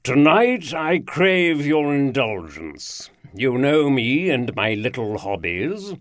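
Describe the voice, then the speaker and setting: A man puts on a self-satisfied aristocratic lord in a storybook, drawling in a rich baritone. He announces slowly and grandly, his pitch swooping up and down. The sound is dry and close. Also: very animated, no echo. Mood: grandiose. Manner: slow, grand, theatrical announcing. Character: pompous aristocratic male lord, showman.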